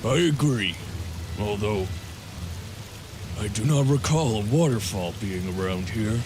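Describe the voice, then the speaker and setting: A man playing a measured warrior in a fan audio drama. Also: on the low side, lively intonation, background noise. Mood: pensive. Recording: music under the voice.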